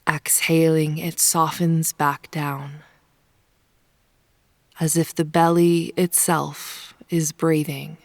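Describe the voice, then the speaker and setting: A woman leading a guided yoga nidra meditation in a soft, soothing, unhurried manner, with phrase ends sometimes hushed. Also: low-pitched, flat delivery, no room sound, studio quality. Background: soft pink noise.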